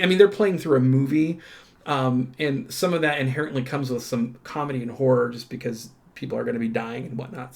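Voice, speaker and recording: A man with a mid-pitched voice talks casually as he presents an unboxing indoors. His delivery is enthusiastic and informal, a little halting, with thoughts that trail off and pick up again. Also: gravelly. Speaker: host of a tabletop game unboxing channel. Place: indoors at a tabletop.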